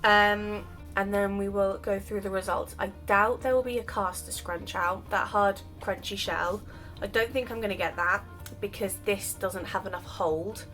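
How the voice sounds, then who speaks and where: A woman's voice: medium pitch, steady tone, normal speed, fairly bright tone, faint music behind. A woman chatting casually while she demonstrates styling her wavy hair, her voice just recovered from illness. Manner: chatty, self-deprecating, explains while doing. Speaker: hair vlogger. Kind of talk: casual hair tutorial chat.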